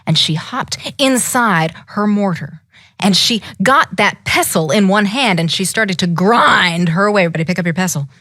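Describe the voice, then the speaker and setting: A woman's voice: medium pitch, normal speed, fairly bright tone, dry recording, clean studio sound. A woman telling a fairytale live, in a dramatic, emphatic way, drawing her listeners in as she goes. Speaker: storyteller.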